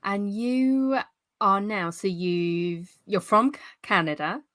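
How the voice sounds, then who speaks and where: A woman's voice: mid-range voice, slow pace, a bit bright, dry recording, clean studio sound. A woman hosting a podcast, introducing her guest and asking encouraging questions. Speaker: podcast host. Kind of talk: interview hosting.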